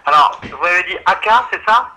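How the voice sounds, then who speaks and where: A man's voice: high-pitched, lively intonation, brisk, sharp tone. A man working as an insurance phone agent, patiently and politely explaining things over a tinny telephone line. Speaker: insurance call-centre agent. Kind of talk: customer service phone call.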